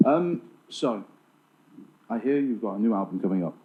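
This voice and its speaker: A man's voice: on the low side, expressive, normal speed. A man interviewing in an unscripted, joking style, teasing and bumbling as he goes, with muffled phone-like sound.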